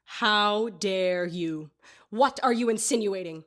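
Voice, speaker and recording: A woman's voice: medium pitch, slow, fairly bright tone. A woman playing a haughty, easily offended older lady in an audio drama, formal and scolding in manner.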